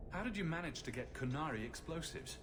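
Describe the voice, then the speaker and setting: An actor playing a bold, wry, brotherly adventurer hero in a fantasy game. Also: male voice, on the high side, expressive, brisk, noisy background, close to an everyday voice. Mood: wry, curious.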